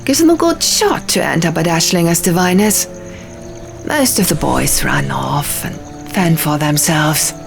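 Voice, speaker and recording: A woman's voice: low voice, animated, measured pace, full character voice. A woman voicing a blunt, dismissive townsperson in a fantasy audiobook. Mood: brusque. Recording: clear music behind the voice.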